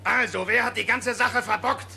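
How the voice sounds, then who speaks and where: Sharp, high-voiced angry boss. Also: male voice, fairly even intonation, moderate pace, creaky, a little hiss or hum, exaggerated voice. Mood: furious. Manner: barking, brusque. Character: angry, overbearing male cartoon boss. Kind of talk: dubbed cartoon dialogue.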